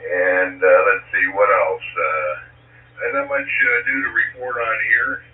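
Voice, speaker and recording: A man with a low voice, still getting over a cold, checks in on a radio net and pauses often with "uh". He sounds far off in an echoey space, heard through a thin, phone-like signal. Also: little pitch movement. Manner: halting, many fillers. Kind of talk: casual on-air radio chat. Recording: tinny distant radio signal in echoey room.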